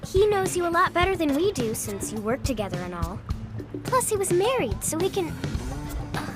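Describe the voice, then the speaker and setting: A woman's voice: very high voice, sing-song, moderate pace. A woman playing a bubbly, teasing, outspoken teenage girl in a video game scene. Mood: frank. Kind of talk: video game cutscene acting. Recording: music behind the voice.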